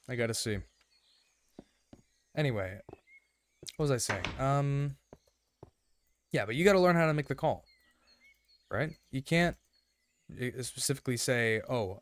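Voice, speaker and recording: A man with a slightly raspy, rough throat giving casual, rambling gameplay commentary in an earnest way, often checking in as he goes. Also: mid-range voice, dry recording. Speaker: gaming video host.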